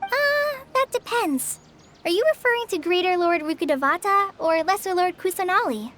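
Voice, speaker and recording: A woman's voice: extremely high pitch, expressive, moderate pace. A woman voicing an earnest, eager young trainee forest ranger in a video game, with a light youthful voice. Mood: earnest, informative. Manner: earnest, helpful, explanatory. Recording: music behind the voice.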